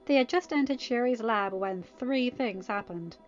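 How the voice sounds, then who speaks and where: A woman with a fairly high voice narrates a story expressively from a script. The recording is slightly dull, with music playing underneath. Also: moderate pace. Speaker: podfic narrator.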